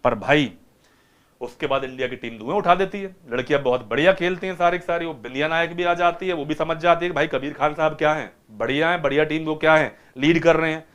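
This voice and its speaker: A man's voice: a little high, fast, a bit bright. A man teaching vocabulary to students by retelling a film, keeps asking them rhetorical questions.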